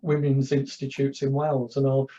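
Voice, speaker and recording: A man's voice: mid-range voice, monotone, moderate pace, warm tone, muffled recording. A man from London with a London accent, a public speaking coach chatting as a guest and telling anecdotes, often repeating words as he starts. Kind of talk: casual interview chat.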